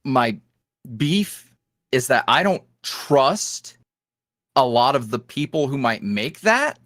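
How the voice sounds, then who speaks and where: A man's voice: mid-range voice, very animated, slow, a bit bright, dry recording. A man speaking casually and candidly as an interview guest, tossing off self-deprecating asides. His voice moves up and down a lot, and the close microphone catches it dry and clean. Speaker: guest, ASMR roleplay creator.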